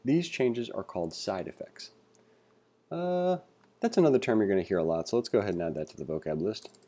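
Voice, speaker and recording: A man giving a read-along coding tutorial, reading aloud and thinking out loud with informal asides. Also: fairly low pitch, lively intonation, a little fast. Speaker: instructor.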